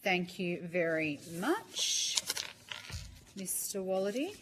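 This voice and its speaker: A woman's voice: lowish voice, big pitch swings, takes it slowly, noisy background. A woman chairing a parliamentary committee hearing, polite and procedural.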